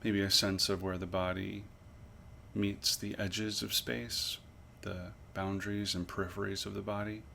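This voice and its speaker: A man leading a guided body scan meditation as an instructor, with measured, pausing, gently instructive phrasing. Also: fairly low pitch, little pitch movement, vocal fry, slight background noise.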